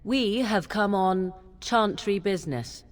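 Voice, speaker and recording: An actress playing a haughty, sharp-tongued witch in a fantasy game, mocking and theatrical, with long reverb. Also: mid-range voice, slow pace, lightly acted. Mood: impatient.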